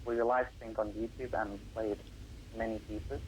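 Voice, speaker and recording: A man's voice: fairly low pitch, flat delivery, moderate pace, darkish tone, slight background noise, poor audio. A teenage boy, a Russian speaker at home, answering radio interview questions about composing, earnest and explaining at length with some hesitations. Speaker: young composer guest.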